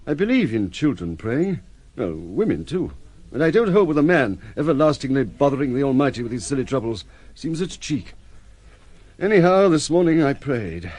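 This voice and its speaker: An actor playing a desperate, indignant and naively earnest sea captain in an audio drama, agitated and halting. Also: male voice, a little high, darkish tone, no echo. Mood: embarrassed confiding.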